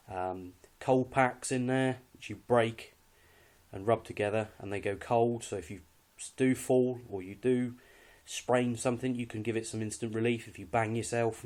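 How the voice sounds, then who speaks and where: A British man with a fairly low voice talks through his outdoor gear off the cuff. He is understated and matter-of-fact, a little hesitant as he goes, like an informal hobby video presenter. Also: a little mellow. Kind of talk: casual unscripted gear walkthrough.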